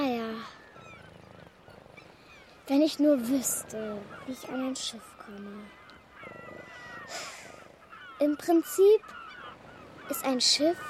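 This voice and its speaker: A woman's voice: high voice, darkish tone, a little hiss or hum. A woman playing a plucky, stubborn young girl in a children's radio play, eager and insistent in manner. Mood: dreamy.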